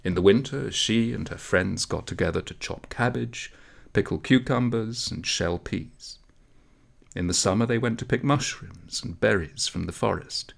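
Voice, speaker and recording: A man reading a literary story aloud in an expressive narration style, with measured, dramatic phrasing. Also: lowish voice, creaky. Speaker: audiobook story reader.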